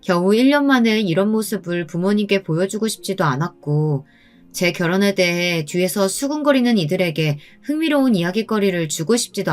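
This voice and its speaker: A woman reading a scripted first-person story in a measured, polite storytelling delivery. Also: on the low side. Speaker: story channel narrator. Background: background music.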